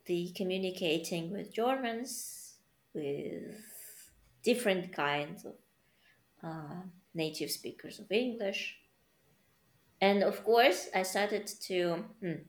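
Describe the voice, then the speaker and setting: Slow-talking woman in an echoey room. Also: lowish voice. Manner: slow, reflective, searching for words. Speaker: conversation participant. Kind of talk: casual chat.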